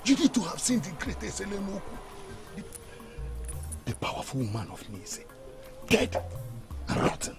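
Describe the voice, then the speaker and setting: A gravelly, commanding elder. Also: male voice, high-pitched, wildly swooping pitch, moderate pace, pauses often, heavily acted. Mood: anguished. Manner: declamatory, commanding. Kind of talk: Nollywood film acting. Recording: film soundtrack with music underneath.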